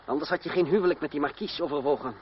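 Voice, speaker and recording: An actor playing a proud, cool young gentleman fencing master in a radio play, speaking formally with measured irony. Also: on the high side, natural voice. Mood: sardonic. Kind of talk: Dutch radio play acting. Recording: dull, noisy old radio recording.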